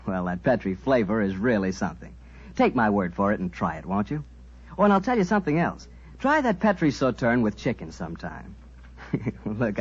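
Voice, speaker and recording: An American male announcer from 1940s radio, folksy and salesmanlike. His husky voice swings widely in pitch, and the old recording is muffled.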